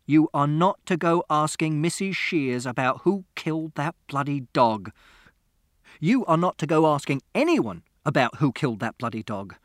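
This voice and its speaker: A man voicing an angry, short-tempered middle-aged father in a storybook reading who barks his commands loudly. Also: a little high, a little slow, clean tone, no echo, clean studio sound. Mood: furious. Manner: loud, barking commands.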